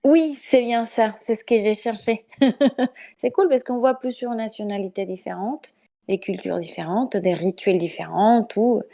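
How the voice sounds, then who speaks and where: A woman's voice: on the high side, a little slow, creaky, mellow. A woman from Mexico with a Spanish accent, chatting casually and laughing often, with many hesitations. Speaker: podcast guest. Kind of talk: casual discussion over voice chat. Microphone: home microphone on voice chat.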